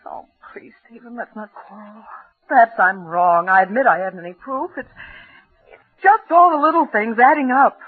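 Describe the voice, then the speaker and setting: A fretful, husky radio-drama wife. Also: female voice, a little high, normal speed. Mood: hurt, suspicious. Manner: earnest, fretful, theatrical. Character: anxious, aggrieved wife and stepmother. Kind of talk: mid-century radio drama acting. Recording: tinny, narrowband old radio recording.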